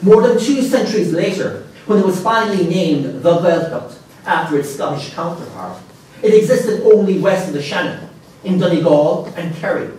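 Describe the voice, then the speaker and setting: A man in his thirties lectures from a podium in a large hall, his fairly high voice animated as he reads quotations and drops dry asides. The room rings with heavy reverb, and he sounds a little distant from the lapel mic. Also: a little hiss or hum. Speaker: keynote speaker. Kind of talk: conference keynote lecture.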